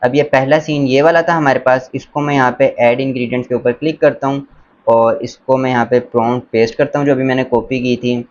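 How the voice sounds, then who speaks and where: A man's voice: mid-range voice, steady tone. A man presenting a casual step-by-step screen tutorial in an instructional, enthusiastic way, mixing in English words. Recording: dull lo-fi sound, faint background noise.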